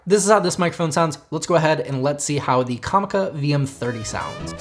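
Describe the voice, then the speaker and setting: A young man with a fairly high voice hosting a casual product review, chatting to viewers and tossing in joking asides. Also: expressive, a little fast, music in the background. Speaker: tech reviewer, channel host.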